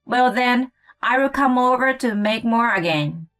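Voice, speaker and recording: Story role: eager, sweetly insistent mother, female performer, high and sunny, unhurried. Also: expressive, a bit bright, faint music behind. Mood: cheerful, eager. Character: cheerful, eager mother of a grown son. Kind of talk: acted story dialogue.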